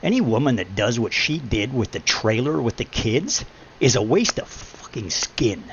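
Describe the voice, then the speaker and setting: Gravelly deep-voiced guy, middle-aged, blunt and preachy, swears a lot, faint hum behind. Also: sing-song, moderate pace, muffled recording. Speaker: podcast host. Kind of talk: casual advice chat.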